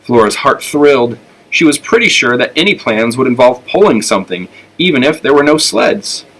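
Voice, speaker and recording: A man's voice: medium pitch, very animated, moderate pace, fairly bright tone, poor audio. A man with an American accent narrating a children's storybook aloud. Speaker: elementary school teacher reading aloud.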